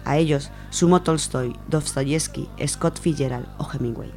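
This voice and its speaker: A woman's voice: low-pitched, measured pace, darkish tone, soft background music. A woman from Spain presenting on radio, giving an informal, semi-prepared account in an explanatory way, restating points as she goes.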